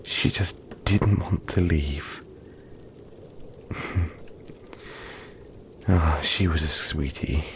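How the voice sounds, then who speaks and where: A man voicing a gentle, friendly young yeti in an intimate audio roleplay, speaking softly and reassuringly, with halting, breathy phrasing. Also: rumbling low, slight background noise, heavily acted. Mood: fond, relaxed. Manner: soft-spoken, reassuring, halting, often breathy near-whisper.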